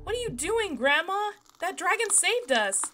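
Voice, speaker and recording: A very high-pitched young girl, acted by a woman, impulsive and emotional. Her urgent cartoon delivery swings up and down over background music. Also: very animated, normal speed. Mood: indignant. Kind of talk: fan comic dub voice acting. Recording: music behind the voice.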